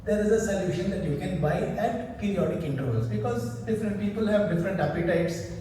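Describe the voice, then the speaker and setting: High-voiced Indian man in an echoey hall. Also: lively intonation, a little fast, warm tone. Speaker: company representative presenting products. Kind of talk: answering questions at a product launch. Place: large reverberant hall. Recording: echoey hall, distant mic, faint noise.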